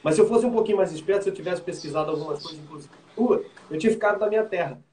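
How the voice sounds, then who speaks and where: A man's voice: sits high, rapid-fire, a little mellow. A man from Minas Gerais hosting a live interview, asking questions in a conversational way. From Minas Gerais, Brazil. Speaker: host. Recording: echoey room with faint noise.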